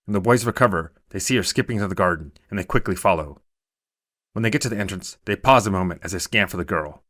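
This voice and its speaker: A man's voice: low voice, quick pace, a bit bright, no echo, clean studio sound. A man narrating a scripted short story as a storyteller.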